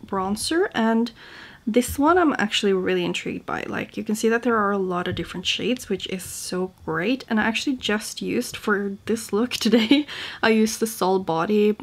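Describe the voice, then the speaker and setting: A woman's voice: medium pitch, normal speed. A young woman from Norway with a Norwegian accent, chatting casually and informally to camera as a beauty vlogger. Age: young adult. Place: small home room indoors.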